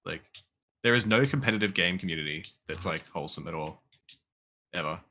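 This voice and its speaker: A man's voice: low-pitched, a little fast, bright, forceful tone, a little hiss or hum. A man chatting casually while streaming a modded game, rambling and swearing loosely. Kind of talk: casual gaming chat.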